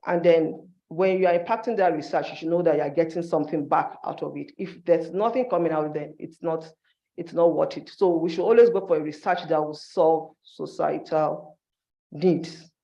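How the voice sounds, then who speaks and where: A man's voice: a little high, steady tone, normal speed, creaky, mellow, dull audio. A man giving an instructive conference presentation in short, emphatic phrases, as a university staff member.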